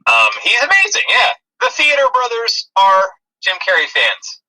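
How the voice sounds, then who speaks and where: A man's voice: very high voice, wildly swooping pitch, normal speed, bright, forceful tone, low-quality recording. A man co-hosting a podcast, chatting casually and jokily about films. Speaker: podcast co-host. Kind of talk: casual, jokey film review chat.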